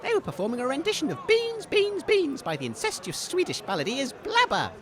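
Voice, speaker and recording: A man playing a flustered, cheery wasteland radio host in a comedy broadcast, with an excitable, stammering manner. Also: very high voice, fairly quick, crowd noise, full character voice. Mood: cheerful, earnest.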